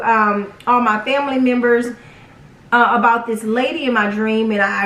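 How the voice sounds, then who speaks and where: High-pitched woman, casual storyteller, dramatic delivery, roomy echo, young adult. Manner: animated, dramatic retelling with acted quotes. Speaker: vlog storyteller. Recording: roomy echo, distant from mic.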